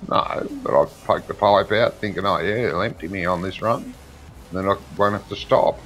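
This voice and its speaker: A man's voice: low voice, moderate pace, a bit bright, soft background music. A man chatting casually during multiplayer gameplay, making dry, teasing remarks to his co-player. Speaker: co-player on the stream.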